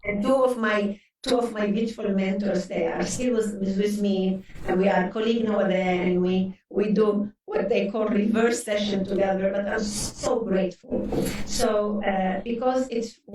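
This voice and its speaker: A woman's voice: mid-range voice, a little mellow, a little hiss or hum. A woman in her sixties from Lebanon whose first language is Arabic, speaking earnestly as an interview guest and often restarting her words. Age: 60s. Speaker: guest psychotherapist. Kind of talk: interview conversation. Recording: roomy, distant mic.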